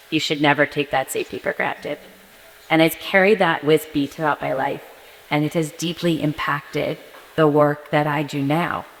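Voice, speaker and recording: A woman from near New York City speaks earnestly as a panelist and circles back to repeat her key phrases. Her voice sits low. The sound carries the long reverb of a conference hall. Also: vocal fry. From northeastern United States, near New York City. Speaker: forum panelist. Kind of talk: personal testimony at a panel.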